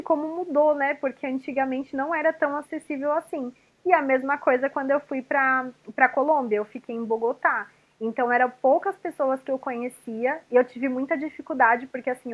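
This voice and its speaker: A woman speaks as a psychologist guest in a relaxed interview. Her voice is high. She recounts her experiences in an easy, filler-laden way. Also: lo-fi sound. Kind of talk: casual conversational interview.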